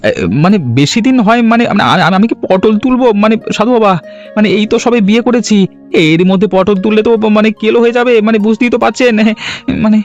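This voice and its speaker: A man voicing an excitable, gullible village husband in a cartoon, often flustered and stammering. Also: sits high, quick pace, lo-fi sound, soft background music, close to an everyday voice. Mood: flustered, nervous. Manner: stammering, flustered, repeating filler words. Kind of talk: Bengali cartoon story voice acting.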